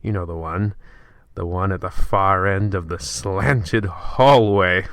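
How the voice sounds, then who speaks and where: An actor playing a creepy, theatrical horror-show narrator with gleefully macabre, ornate delivery in an old-time radio parody. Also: male voice, low-pitched, takes it slowly. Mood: sly, macabre. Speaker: narrator and host of a radio play.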